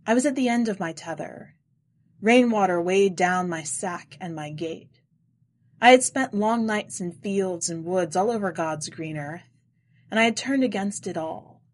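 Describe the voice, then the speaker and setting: A woman reading scripted literary narration in a measured, deliberate way. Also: medium pitch. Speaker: podcast editor and story reader.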